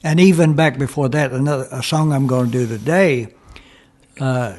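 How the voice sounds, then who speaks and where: An older man, reminiscing casually and haltingly as he searches for names. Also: medium pitch, darkish tone. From Southern US, Tennessee and Kentucky. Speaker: guest country singer and storyteller.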